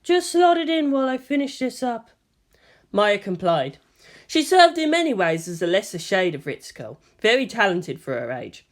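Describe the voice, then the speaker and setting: A woman reading scripted fan fiction as a story narrator. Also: on the low side.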